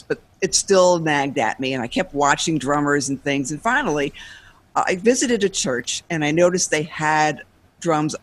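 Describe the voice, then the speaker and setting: A woman being interviewed as a guest, telling her story in a lively way and laughing between thoughts. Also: low-pitched. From United States.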